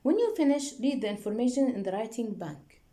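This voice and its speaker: A woman's voice: a little high, big pitch swings, a little fast, dark tone. A woman teacher giving a classroom lesson, often checking in with her students and using frequent fillers.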